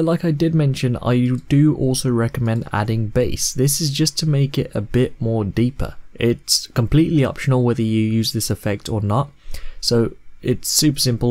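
A man in his twenties narrating a step-by-step software tutorial in a casual, instructional way. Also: medium pitch, no echo. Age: 20s. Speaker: host of video editing tutorials.

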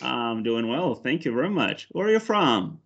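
A Moroccan man speaks English as a second language over a headset on a video call, pausing often on "uh" and "you know". His pitch sits mid-range, and he lifts his voice brightly when he turns to teaching. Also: big pitch swings, lo-fi sound. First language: Arabic and Berber. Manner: hesitant, many fillers and restarts, rises brightly when teaching. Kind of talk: mock job interview and demo lesson. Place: indoor room with large windows.

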